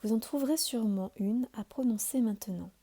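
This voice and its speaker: Mellow-voiced woman guiding a calm meditation. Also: on the high side. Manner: calm, soothing, deliberate delivery with pauses. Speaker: yoga nidra instructor. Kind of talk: guided meditation, measured scripted instruction.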